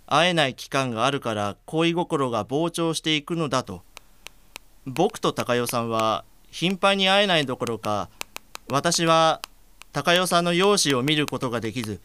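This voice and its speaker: A man's voice: on the high side, expressive, moderate pace, bright, forceful tone, a little hiss or hum. A man playing an earnest, polite blind man shyly in love, in an audio drama.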